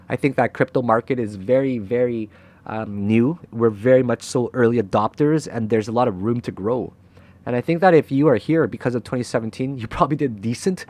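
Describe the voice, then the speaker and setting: A man's voice: medium pitch, darkish tone. A young man, a crypto trader and channel host, giving a candid motivational talk to camera, frank and emotional, swearing freely and often tagging "right?".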